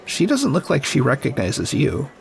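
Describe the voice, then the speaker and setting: Very animated gravelly tavern keeper. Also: male voice, mid-range voice, normal speed. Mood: welcoming, curious. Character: friendly, attentive male tavern keeper. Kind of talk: full-cast fantasy audio drama acting.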